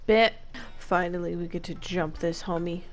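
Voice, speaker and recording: A woman's voice: on the low side, steady tone, moderate pace, faint music behind. A woman streamer giving casual, sarcastic gameplay commentary in a slangy, wry manner.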